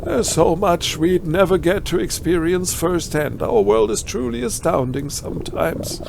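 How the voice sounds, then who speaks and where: A man's voice: sits high, unhurried, gravelly, noisy background. A man with a German accent doing a let's play, reading game text aloud and adding wry, ironic asides. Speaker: let's player.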